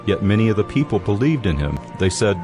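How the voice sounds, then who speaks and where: A man reading scripted narration for a dramatized audio Bible in a measured, solemn way. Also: on the low side, very animated. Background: music.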